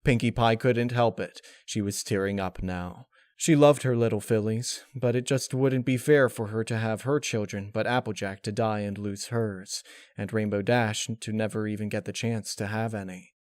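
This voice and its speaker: A man narrating a scripted dark fan fiction story with dramatic storytelling delivery. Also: lowish voice, steady tone, moderate pace, no echo, clean studio sound.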